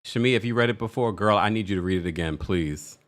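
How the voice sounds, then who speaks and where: A man hosting a casual celebrity news stream, chatty and animated, with a deep voice and frequent laughs. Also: fast. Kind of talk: casual commentary livestream.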